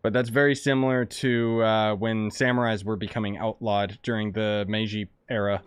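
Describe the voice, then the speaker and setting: A man's voice: fairly low pitch, flat delivery, normal speed, smooth, dry recording, studio quality. A man giving casual, informal, slangy commentary as he plays through a game. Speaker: game streamer.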